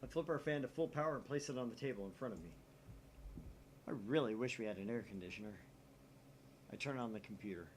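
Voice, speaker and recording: A man's voice: medium pitch, fast talker, long pauses, slight background noise. A man hosting a let's play, reading visual novel text aloud and slipping in playful, wry asides from home.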